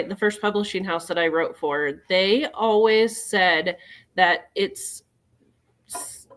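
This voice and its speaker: A woman's voice: medium pitch, a bit bright. A woman from Colorado talking casually as an author and book club host. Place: live online video chat.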